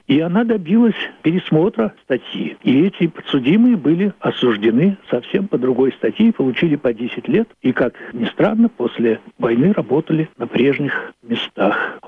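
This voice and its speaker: An elderly man tells a story from memory, explaining it deliberately and stressing key words. His voice is a little high for a man and slightly husky. The sound is thin and phone-like. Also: dry recording. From Leningrad, Russia. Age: older. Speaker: playwright. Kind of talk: unscripted storytelling, recollection. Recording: muffled, phone-like narrowband audio.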